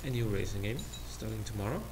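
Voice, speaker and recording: A man's voice: on the low side, fast. A young man from Austria, a native German speaker with an Austrian accent, rambling casually with many fillers and restarts while playing a game. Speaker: let's play host. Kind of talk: casual rambling commentary over gameplay. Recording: noisy home recording.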